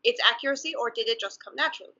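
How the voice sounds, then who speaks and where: A woman with a Mexican accent talks in a careful, earnest way and drops small laughs between her points. Her voice is very bright and fairly high. The video call audio sounds muffled. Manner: deliberate, earnest, laughs softly between thoughts. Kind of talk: casual conversation.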